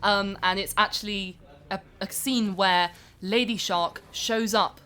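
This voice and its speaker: A woman as a comedy podcast guest, giving earnest, deadpan explanations in a mock celebrity interview. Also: medium pitch, measured pace. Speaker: comic interview guest playing an actress.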